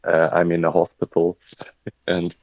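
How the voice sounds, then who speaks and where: A man's voice: sits low, completely flat delivery, unhurried, creaky, no echo. A man from Germany with a German accent, a non-native English speaker chatting hesitantly as a guest over a dull mic. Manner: hesitant, repeats words. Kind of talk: casual chat.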